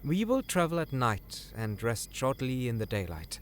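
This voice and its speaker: A man's voice: mid-range voice, sing-song, normal speed, slight background noise, natural voice. A man playing an earnest, deferential scholar serving royalty in a fantasy audio drama, formal and slightly hesitant. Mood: humble, formal.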